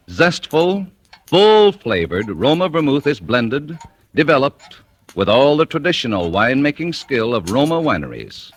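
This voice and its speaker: Casting: polished male commercial announcer, vintage radio, formal and emphatic, very animated mid-range pitch. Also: husky. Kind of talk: 1940s radio advertising read.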